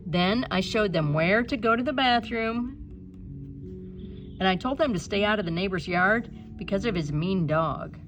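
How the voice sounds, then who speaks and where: A woman reading a children's storybook aloud in an expressive narrating style, with some creak in the voice. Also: medium pitch, normal speed, over music. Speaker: storybook reader.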